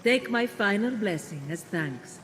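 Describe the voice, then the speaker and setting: An actress playing a vain, flirtatious goddess of love and beauty in a video game, with a dramatic, self-admiring manner. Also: female voice, mid-range voice, animated, measured pace, in a large hall, music in the background, close to an everyday voice. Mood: haughty, playful. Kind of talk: animated video game cutscene acting.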